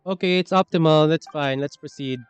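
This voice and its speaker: Very low-voiced woman game streamer. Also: brisk. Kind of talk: casual live stream, reading dialogue aloud. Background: faint game music.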